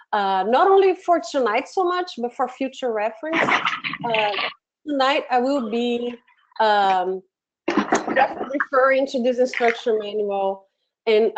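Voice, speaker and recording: A middle-aged woman with a Brazilian Portuguese accent leads an online software class from her home office. Her voice sits fairly high and comes through dull, lo-fi webinar audio. She talks in a friendly way and often pauses and restarts. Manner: friendly, many fillers and restarts. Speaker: webinar host, education program manager. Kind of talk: live software webinar instruction.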